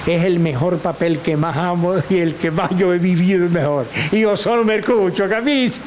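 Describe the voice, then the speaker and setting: An older man with a Venezuelan accent, a guest telling animated stories and acting out the voices of people he quotes. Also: high-pitched, moderate pace, a little hiss or hum. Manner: expressive, acts out quoted voices, laughs often. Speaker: guest, actor and teacher. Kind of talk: animated storytelling.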